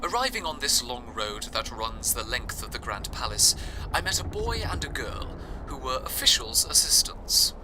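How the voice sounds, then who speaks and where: Man acting as male fantasy radio broadcaster, mid pitch, lively intonation, measured wistful storytelling, audio drama narration, awed and earnest. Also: background noise, close to an everyday voice. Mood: wistful, reverent. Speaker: radio host.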